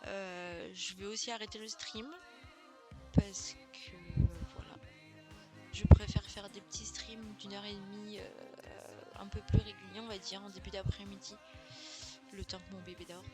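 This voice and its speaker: A woman's voice: medium pitch, level tone, unhurried. A mother streaming a video game, chatting casually with viewers and hesitating often. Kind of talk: casual gameplay stream chat. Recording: game music playing behind.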